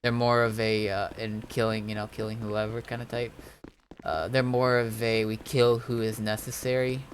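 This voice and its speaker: A man giving a casual, rambling gaming commentary in a halting way, often trailing off. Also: lowish voice, level tone, slight background noise. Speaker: gaming video host. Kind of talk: casual rambling gameplay commentary recapping roleplay events.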